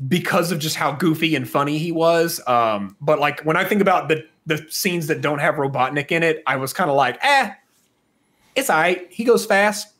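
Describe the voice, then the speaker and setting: A man's voice: on the high side, a bit bright. A man from the southern US with an American accent, co-hosting a podcast in a casual, joking, opinionated way. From southern United States. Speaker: podcast co-host. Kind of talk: casual chat.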